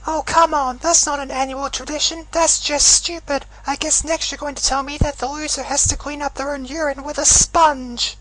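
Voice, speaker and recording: A woman voicing a gleefully psychotic teenage girl, mocking and sarcastic, with a gravelly, husky voice. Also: high-pitched, steady tone, moderate pace, few pauses, a bit bright, a little hiss or hum, rough recording.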